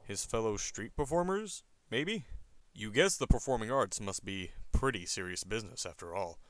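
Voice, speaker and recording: A man's voice: on the low side, animated, normal speed, close to an everyday voice. A man playing a wry, instructive narrator in a web comic dub, reading scripted game commands and narration. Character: wry second-person narrator issuing adventure game commands.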